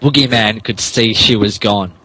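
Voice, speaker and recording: A man's voice: lowish voice, moderate pace, sharp tone, slightly rough, rough recording. A man, a podcast host, narrating a comic dramatic reading of fan fiction theatrically and stumbling over the text.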